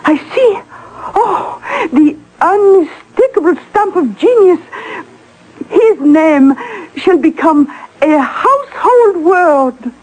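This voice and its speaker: A woman's voice: very high-pitched, lively intonation, slow, a little mellow, full character voice, poor audio. A woman acting in an old-time radio drama, playing a grand, affected society madame who patronises artists and gushes dramatically. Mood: gushing.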